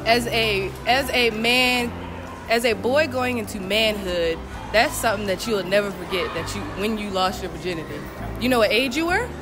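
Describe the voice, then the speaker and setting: A woman's voice: medium pitch, animated, moderate pace, over music. A woman being interviewed in a mall, reasoning things out in a lecturing way. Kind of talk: casual street interview. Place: indoor shopping mall.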